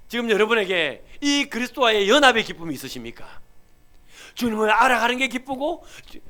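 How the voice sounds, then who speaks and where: Male pastor, Gyeongsang accent, emphatic sermon, very expressive pitch, pulpit mic. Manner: emphatic, swings from loud to hushed. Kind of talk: Sunday sermon.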